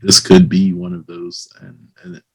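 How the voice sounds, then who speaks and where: A man conducting a casual interview in a hesitant, halting way. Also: deep voice, monotone, creaky, darkish tone, low-quality recording.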